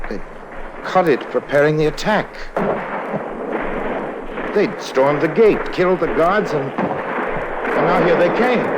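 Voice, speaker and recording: An actor playing a smooth-talking, roguish adventurer in a 1950s radio drama, glib and wry, both in dialogue and telling his own story. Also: a little high, heavy background noise. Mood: tense narration. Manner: wry, glib, stammers when cornered. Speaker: lead actor and narrator. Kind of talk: 1950s radio drama acting and first-person narration. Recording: old 1950s radio recording.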